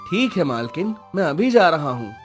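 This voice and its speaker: पुरुष की आवाज़ में हड़बड़ी वाला गाँव का नौकर. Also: male voice, a little high, very animated, moderate pace, faint music behind. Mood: obedient. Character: obedient, hurried male servant of a village landlord. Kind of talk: cartoon moral story acting.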